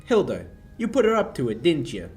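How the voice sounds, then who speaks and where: A young man playing a smooth-talking crook in a 1940s radio play, breezy and sardonic with menace underneath. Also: on the high side, huge pitch swings, brisk, a little mellow, faint music behind. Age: young adult.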